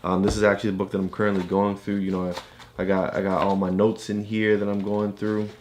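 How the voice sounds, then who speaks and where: A man's voice: lowish voice, completely flat delivery, fast, a little mellow, slight background noise. A man chatting casually as he coaches a child, explaining things simply and encouragingly. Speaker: parent co-host coaching a child reader. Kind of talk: casual teaching chat with a child.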